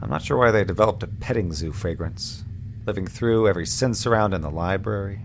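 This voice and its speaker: A man's voice: lowish voice, a little fast, gravelly, slight background noise. An actor playing a frustrated lone moonbase crewman in a sci-fi audio drama, wry and rambling as he thinks aloud. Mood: musing, absorbed.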